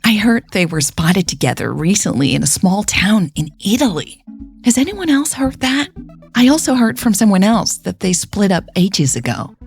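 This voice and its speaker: A woman's voice: mid-range voice, sing-song, normal speed, creaky, soft background music. A woman voicing a gushy, gossipy romance fan posting on an online forum, with questioning upturns. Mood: wistful, gossipy.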